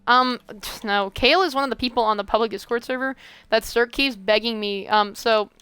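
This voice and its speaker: Very clear-voiced rambling woman. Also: fairly high pitch, brisk, pushed and bright, no echo, faint music behind. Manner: rambling, many fillers and restarts. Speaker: host. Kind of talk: casual chat while building in a game.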